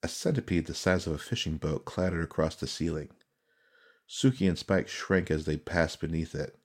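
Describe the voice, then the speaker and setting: A man reading dramatic fantasy narration as an audiobook narrator, solemn, measured and suspenseful. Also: rumbling low, a little mellow.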